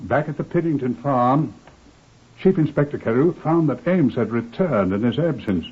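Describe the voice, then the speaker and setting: Husky guy narrating an old radio drama, mid pitch, thin tinny recording. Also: expressive, normal speed, music in the background.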